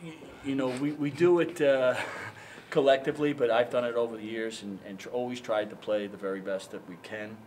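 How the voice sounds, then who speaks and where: An older man, a basketball head coach at a postgame press conference, answering reporters haltingly with many fillers and repeated words. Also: mid-range voice, fairly even intonation, a little mellow. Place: press room in front of a sponsor backdrop. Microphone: handheld mics held up by reporters. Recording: noisy press room.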